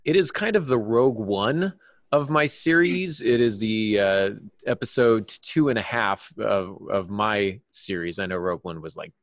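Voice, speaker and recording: A man's voice: fairly low pitch, expressive, measured pace, no echo, clean studio sound. A man co-hosting a podcast, chatting casually in a rambling, self-deprecating way. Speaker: podcast co-host and author. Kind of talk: casual chat.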